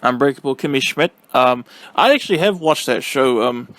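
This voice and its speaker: A man giving a casual, rambling spoken review, halting and slangy, with a silly, giggly manner. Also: mid-range voice, lively intonation, fairly bright tone, no room sound. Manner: silly, giggly, easily cracks up. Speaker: vlogger giving film and game reviews.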